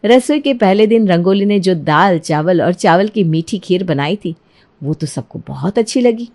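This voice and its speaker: A woman's voice: fairly low pitch, lively intonation, moderate pace, darkish tone. A woman narrating a scripted cartoon moral tale in a storybook style.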